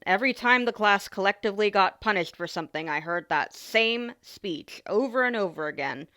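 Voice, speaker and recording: A woman's voice: mid-range voice, lively intonation, normal speed, pushed and bright. A woman playing a caring, teasing young adult older sister in an ASMR roleplay, talking casually and informally straight to the listener. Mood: frank, exasperated. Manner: playful, informal, sighs and small laughs.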